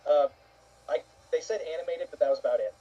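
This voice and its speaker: A man's voice: fairly high pitch, fast, mellow, breathy edge. A man contributing casually to a panel discussion over a dull, lo-fi connection. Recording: dull lo-fi muffled voice line.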